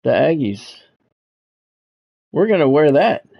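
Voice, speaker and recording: A man's voice: on the high side, very animated, takes it slowly, vocal fry, lo-fi sound. A man giving casual live video game commentary with sportscast touches, talking conversationally and thinking aloud. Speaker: video game streamer and play-by-play announcer.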